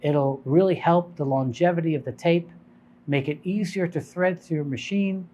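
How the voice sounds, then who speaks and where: A man's voice: a little high, normal speed, mellow, highs cut off. A man speaking as a shop owner, explaining and advising in an informal, unscripted, conversational way. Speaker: shop owner presenting product advice.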